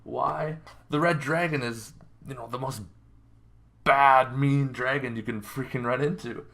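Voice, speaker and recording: Male gaming-series host, medium pitch, casual and enthusiastic explainer, informal with emphatic phrasing. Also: fairly even intonation, a little slow, bright, forceful tone.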